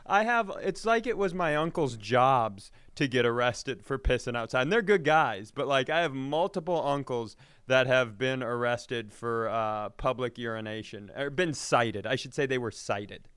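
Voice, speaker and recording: Dude bantering on a comedy podcast, really clear voice, no room echo, cussy and self-mocking. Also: male voice, medium pitch, animated, normal speed, fairly bright tone. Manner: profane, joking, self-mocking. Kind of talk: casual comic banter.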